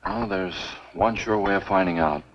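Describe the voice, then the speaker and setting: Low-voiced, blunt 1950s film hero. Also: male voice, wildly swooping pitch, normal speed, vocal fry, heavily acted. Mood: determined. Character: stubborn, blunt young male state game warden hero. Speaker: film actor. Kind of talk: 1950s B-movie screen acting. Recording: muffled, noisy 1950s film soundtrack.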